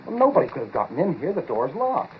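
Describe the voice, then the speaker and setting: An actor playing a bewildered, easily rattled laboratory partner, halting and stammering, in the style of 1940s radio acting. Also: male voice, on the high side, very animated. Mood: confused, uneasy. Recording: muffled, hissy 1940s radio recording.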